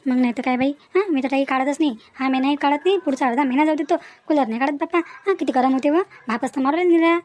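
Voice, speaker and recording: Woman playing a high-pitched, gossipy village housewife. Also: quick pace, few pauses, darkish tone, lightly acted. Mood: chatty complaining. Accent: rural Maharashtrian Varhadi. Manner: chatty, gossipy, emphatic rural talk. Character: talkative, sharp-tongued village housewife who gossips. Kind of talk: comic village dialogue for a cartoon.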